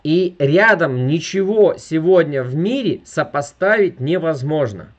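A man's voice: on the high side, lively intonation, measured pace, dull audio. A man in his thirties giving an emphatic sales presentation over a video call, constantly appealing to his viewers. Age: 30s. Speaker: network marketing presenter. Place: indoor room with furniture.

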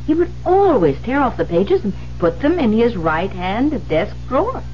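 An eager, deferential woman secretary in a seventies radio drama. She is earnest and hesitant, with lively intonation. The recording is slightly muffled with a faint hum. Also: a little high, normal speed. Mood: earnest, puzzled. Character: dutiful, fussy, eager-to-please female secretary. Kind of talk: 1970s radio drama acting. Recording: muffled, humming 1970s radio broadcast.

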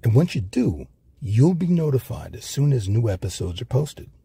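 An American man narrates from a script as a host. His voice is mellow and strongly husky, with lively intonation. He sounds like a presenter signing off a series. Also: mid-range voice, moderate pace, no room sound. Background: music.

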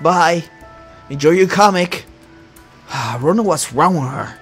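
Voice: medium pitch, expressive, slow, breathy edge, faint music behind. A performer voicing an anxious, paranoid young male superhero leader in a Minecraft roleplay, worried and hesitant. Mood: uneasy.

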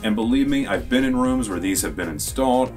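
A man's voice: mid-range voice, lively intonation, a little fast, a little mellow, soft background music. An American man in his thirties giving an enthusiastic, emphatic product review to camera as a store presenter. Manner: enthusiastic, emphatic sales talk. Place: small indoor studio with dark backdrop.

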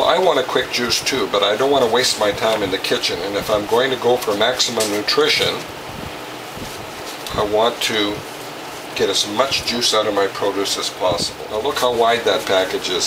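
A man in his sixties presenting in a deliberate, instructional way, like someone telling a plain story or giving a how-to demonstration. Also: fairly low pitch. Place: home kitchen, indoors. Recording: noisy home-kitchen recording, distant mic.

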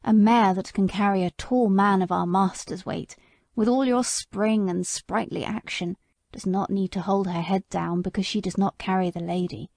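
A woman voicing a bitter, indignant old male horse in an audiobook, speaking slowly in a low, grave way. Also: steady tone, gravelly, darkish tone, no echo, clean studio sound. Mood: consoling, bitter. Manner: slow, low, grave delivery. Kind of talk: audiobook character voice.

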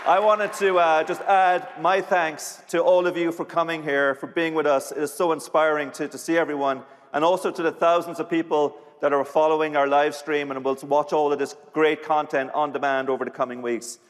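A man's voice: fairly high pitch, flat delivery, brisk, hardly any pauses. A man in his fifties giving an effusive, exclamatory conference address as a marketing executive. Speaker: marketing executive closing a keynote. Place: large conference stage with audience. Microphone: lapel mic. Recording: reverberant hall with faint crowd.

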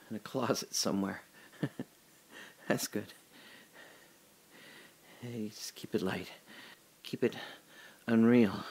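An actor playing a terrified, confused man trapped alone in darkness, talking to himself in breathy fragments in an audio drama. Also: lowish voice, a bit bright, exaggerated voice.

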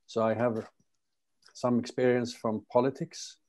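An older man speaking as a panelist on a video call from a home study, unhurried and reflective with hesitant pauses. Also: mid-range voice, flat delivery, a little mellow. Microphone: video call webcam.

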